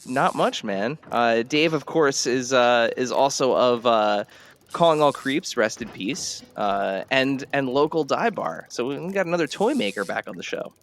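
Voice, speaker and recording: A man co-hosting a podcast in casual chat, speaking haltingly and often repeating his words. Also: mid-range voice, animated. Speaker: podcast co-host.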